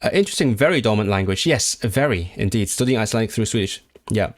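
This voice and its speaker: A man's voice: on the low side, expressive, quick pace. Rambling man chatting on a livestream. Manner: rambling, many restarts and fillers; enthusiastic about languages. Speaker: language livestreamer. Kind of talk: casual livestream chat, reading viewer comments.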